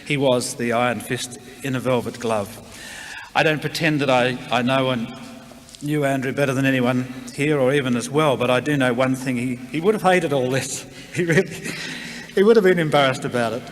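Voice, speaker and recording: An Australian man speaking plainly and haltingly, in a reflective, informal eulogy. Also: medium pitch. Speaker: memorial speaker, colleague of the deceased. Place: large town hall, public address. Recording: reverberant hall, clear background noise.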